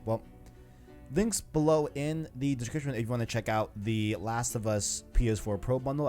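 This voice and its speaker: A man's voice: medium pitch, a little mellow. A man hosting a video game discussion show, chatting casually in a rambling way full of verbal tags like "you know". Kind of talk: casual chat.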